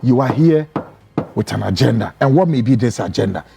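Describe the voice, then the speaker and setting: A man's voice: medium pitch, animated, a little fast, creaky, noisy background. A Ghanaian-accented man giving opinionated commentary, emphatic and indignant, full of rhetorical questions.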